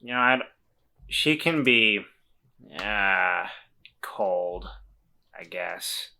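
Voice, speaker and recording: Casting: male player as a gentle, anxious friend in a tabletop game; bright tone, halting, repetitive delivery. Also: deep voice, animated, exaggerated voice. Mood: worried, reassuring. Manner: halting, stammering, repeats words. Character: Walter, worried, gentle, hesitant friend trying to reassure.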